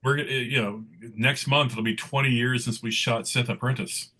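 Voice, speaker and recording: A man's voice: medium pitch, steady tone, moderate pace, pushed and bright. A man in casual conversation as a panel guest, talking in a loose, rambling way. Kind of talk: casual chat.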